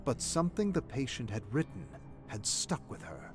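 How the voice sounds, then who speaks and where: A man's voice: medium pitch, sing-song, moderate pace. A man reading game lore as scripted dramatic story narration. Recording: music playing behind the voice.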